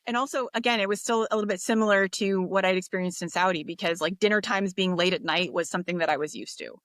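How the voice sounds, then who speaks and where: A woman from the United States, a podcast guest, talking in a relaxed, reflective way with fillers and restarts. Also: mid-range voice, fast talker, no room sound, studio quality. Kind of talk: casual interview talk.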